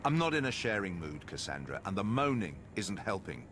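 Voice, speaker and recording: A man playing a cold, grandiose sorcerer villain in a video game, disdainful and menacing in his deliberate delivery. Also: deep voice, very animated, a bit bright, a little hiss or hum. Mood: cold contempt. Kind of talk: video game cutscene acting.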